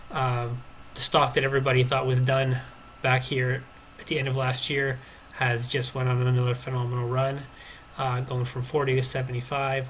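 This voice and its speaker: A man's voice: medium pitch, fairly bright tone, slight background noise, poor audio. A man with a stuffed-up nose from allergies, casually talking through stock charts on his screen with many hesitations and restarts. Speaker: stock market commentator.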